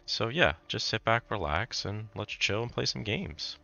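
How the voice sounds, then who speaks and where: A man hosting casual gameplay commentary, raspy and hoarse from a cold, laid-back with startled outbursts. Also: on the low side, animated, measured pace, pushed and bright, muffled recording. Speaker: gaming channel host.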